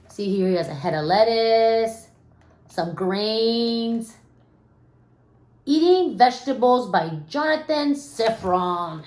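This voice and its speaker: A woman reading a children's story aloud expressively, with dramatic pauses and questions to her young listeners. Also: medium pitch, darkish tone. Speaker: storybook reader. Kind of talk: animated children's read-aloud with asides to listeners. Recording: roomy, echoing room sound.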